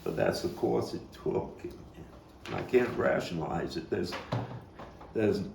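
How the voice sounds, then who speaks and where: A haunted Brooklyn man acted by a man on stage confesses in a low, gravelly voice. He is brooding and halting, full of bitterness and anguish. The theatrical monologue is picked up from a distance in a roomy space. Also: expressive, a little mellow, full character voice. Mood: tormented, remorseful. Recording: roomy, distant mic, faint noise.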